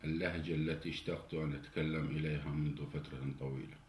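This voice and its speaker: A man's voice: very deep voice, fairly even intonation, fast talker. A man in his forties with an Iraqi Arabic accent, delivering an indignant political monologue straight to the camera. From Iraq. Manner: indignant, grows heated and louder. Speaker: political commentator. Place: indoor study with flag and cabinet. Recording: roomy indoor echo, faint hiss.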